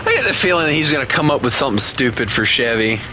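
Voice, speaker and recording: A man's voice: mid-range voice, fast, a little hiss or hum. A man with a Southern US accent, casually commenting to viewers while playing a truck game. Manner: relaxed, chatty, talks to viewers as he plays. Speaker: game streamer.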